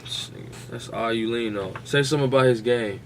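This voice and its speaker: A man's voice: mid-range voice, brisk, over a noisy crowd. An American man co-hosting a podcast, debating sports in a casual, combative way, throwing out challenges and swearing freely. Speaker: podcast host.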